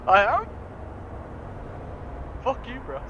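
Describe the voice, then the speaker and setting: A man's voice: very high-pitched, wildly swooping pitch, slow, highs cut off, background noise. A man with a South Yorkshire accent, bantering and teasing his squadmates in a war game chat. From South Yorkshire, England. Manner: teasing, swears freely. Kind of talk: casual gaming banter.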